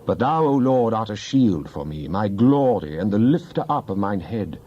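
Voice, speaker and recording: Dark-voiced man solemnly reciting psalms. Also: lowish voice, big pitch swings, moderate pace. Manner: solemn, declamatory. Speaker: scripture reader. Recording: dull lo-fi, faint background noise.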